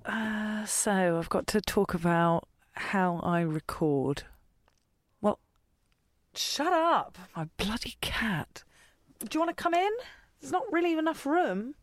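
A woman with a middling pitch rambles slowly and casually. She is self-deprecating and dryly jokey. The sound is close and dead, like a cupboard packed with coats. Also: animated, no room sound. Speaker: voice actor in an audio fiction podcast. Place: small cupboard full of coats. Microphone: pop shield, tripod-mounted recorder.

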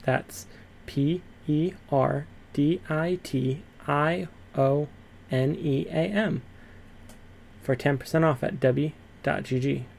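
A man's voice: fairly high pitch, slow, vocal fry, a little mellow, a little hiss or hum. A man reading a scripted promo announcement for a show.

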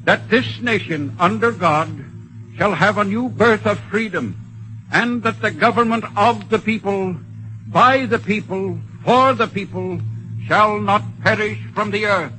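A solemn statesman giving a reverent speech in an old radio drama. The voice is high and bright, declaiming slowly. The vintage recording is slightly noisy. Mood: solemn, resolute. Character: solemn statesman, a president reciting a famous address. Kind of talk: 1950s radio oratory.

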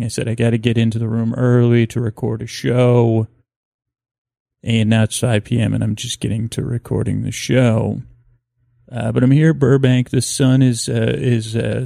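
A gravelly man hosts a bedtime podcast from a hotel room. His voice is mellow and fairly flat, and he meanders haltingly, doubling back on words and letting sentences trail off. The sound is close and dry. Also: mid-range voice, no room sound. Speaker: podcast host. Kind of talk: rambling bedtime monologue for sleep. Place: hotel room with freeway outside.

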